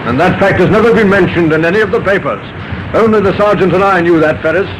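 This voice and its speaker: Radio crime play: male actor as pointed, accusatory detective, fairly high voice, heavy noise over the recording. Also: fast, natural voice. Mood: pressing, triumphant. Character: insistent police detective pressing a suspect. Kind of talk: old-time radio drama acting. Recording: very noisy vintage radio drama recording.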